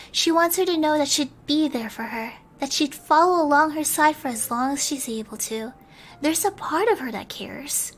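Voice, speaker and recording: A woman streamer reading a bedtime story in a soft ASMR style, at times slipping into a whisper. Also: high-pitched, low-quality recording. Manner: soft-spoken, sometimes whispering.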